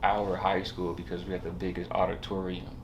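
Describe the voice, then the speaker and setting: A young man talks in a low voice. He rambles casually, hesitating and leaning on slang, like a guest telling his own story. The recording has clear background noise. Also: level tone, a little fast. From Southern California, USA. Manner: slangy, rambling, hesitant, punctuated with bro and you know. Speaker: podcast guest, barber.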